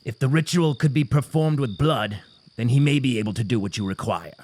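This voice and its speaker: A man voicing a careful, measured herald who practises blood magic in an audio drama. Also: medium pitch, darkish tone, natural voice. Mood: cautious. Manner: cautious and measured. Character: cautious male herald skilled in blood magic.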